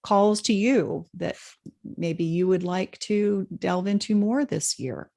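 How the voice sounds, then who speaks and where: A woman's voice: mid-range voice, slow, creaky, a little mellow. A woman co-hosting a casual spiritual chat, hesitant, with many fillers, stumbling over names. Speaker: podcast co-host.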